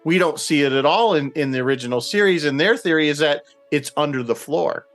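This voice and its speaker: A man's voice: a little high, a little fast, a bit bright. A man on a radio panel explaining fine details in a casual fan discussion.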